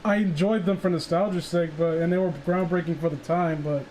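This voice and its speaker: A man with a somewhat high-pitched voice hosts a casual livestream chat. He talks in a teasing, foul-mouthed way. A faint noise sits behind his voice on the group call. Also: fairly even intonation, brisk, a little mellow, poor audio. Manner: profane, teasing. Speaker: streamer hosting a group call.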